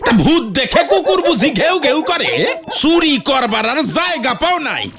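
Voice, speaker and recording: A woman voicing an excitable, suspicious cartoon villager, exclaiming and scolding in repeated bursts. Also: high-pitched, a little slow, heavily acted. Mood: angry. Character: excitable, suspicious villager chasing a night thief. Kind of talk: comic cartoon acting.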